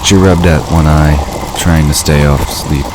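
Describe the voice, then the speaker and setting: Casting: man with an exceptionally deep voice as fiction narrator, scripted read, noisy ambient effects underneath. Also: unhurried. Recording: steady noise behind the voice.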